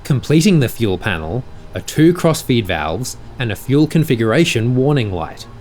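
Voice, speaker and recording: A man reading a scripted explanatory narration in a measured, precise, instructional way. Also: mid-range voice, very animated, a little mellow, slight background noise. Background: music.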